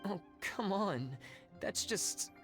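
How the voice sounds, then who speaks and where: A woman's voice: mid-range voice, expressive, slow pace, soft background music. A woman voicing a timid, hesitant clerk in a video game who stammers.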